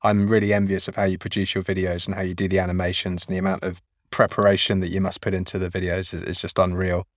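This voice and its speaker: A man's voice: low-pitched, completely flat delivery, quick pace, hardly any pauses, no room sound, clean studio sound. A man hosting a podcast, talking enthusiastically and stammering through his sentences. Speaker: podcast host. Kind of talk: casual chat.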